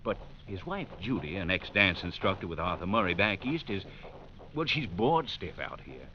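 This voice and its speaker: An American actor playing a folksy, blustering, anxious guest-ranch owner with a hearty, fussy manner in an old radio drama. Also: male voice, low-pitched, very animated, moderate pace. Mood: anxious, pleading. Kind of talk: 1940s-50s American radio drama acting. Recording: dull, noisy vintage radio recording.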